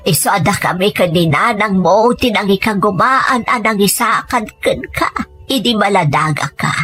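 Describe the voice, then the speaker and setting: A woman's voice: mid-range voice, a bit bright. A woman playing a caring, sorrowful elderly grandmother in an Ilocano-accented audio drama. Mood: sorrowful, patient.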